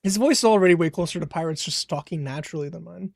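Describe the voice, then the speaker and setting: A man hosting a livestream in casual chat, joking and quick to laugh, with a voice he calls high-pitched. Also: gravelly, a little mellow, dry recording, studio quality. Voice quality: self-described high-pitched voice. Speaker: livestream host.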